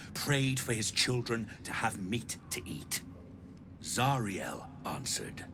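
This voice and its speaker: An actor playing a gruff, wry game trader who tells grim tales in a blunt, folksy way. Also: male voice, low voice, exaggerated voice. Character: gruff unhurried male trader, sardonic messenger telling grim soul stories. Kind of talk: video game character dialogue. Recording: constant noisy ambience behind voice.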